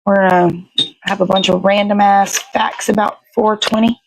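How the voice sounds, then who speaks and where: A woman's voice: mid-range voice, little pitch movement, normal speed, background noise. A woman hosting a morning show with casual, laid-back, rambling chat.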